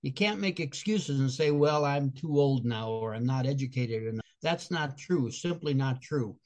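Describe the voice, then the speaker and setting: A man's voice: mid-range voice, lively intonation, moderate pace, a little mellow. Chicago-accented older man, earnest and explanatory. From Chicago, USA. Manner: earnest, explanatory, with small restarts. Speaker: guest speaker and comedian. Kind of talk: casual interview answers.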